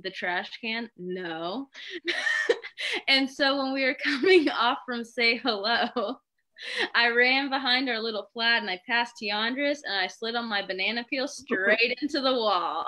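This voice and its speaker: A woman's voice: a little high, sharp tone. A woman improv performer casually telling an anecdote, giggly and laughing through her words.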